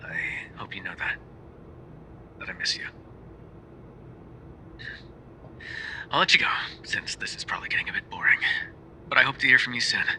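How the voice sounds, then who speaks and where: A man playing a tender, sheepish, bookish mafia boyfriend in an ASMR roleplay, speaking softly and hesitantly as if on a phone call, often dropping to a whisper. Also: fairly low pitch, expressive, quick pace, heavily acted. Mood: wistful, tender. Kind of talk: ASMR roleplay voice acting, intimate phone voicemails.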